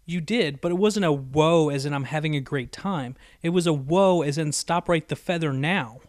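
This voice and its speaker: A man's voice: on the high side, normal speed, no echo, clean studio sound, close to an everyday voice. A man voicing a bookish young female unicorn pony in an audiobook, with a precise, lecturing and easily exasperated manner. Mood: annoyed, lecturing. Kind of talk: acted audiobook dialogue.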